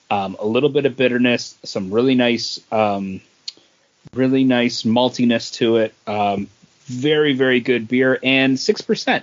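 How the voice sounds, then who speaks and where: A male podcast co-host talking through a casual review. He is measured, stopping to find words, at a middling pitch on slightly muffled audio.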